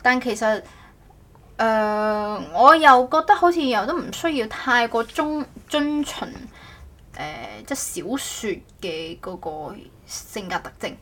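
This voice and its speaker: Lady doing podcast chat, really bright voice, trips over her words. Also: a little high, animated. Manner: halting, repeats words, self-correcting. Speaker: podcast host. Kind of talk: casual co-hosted podcast chat.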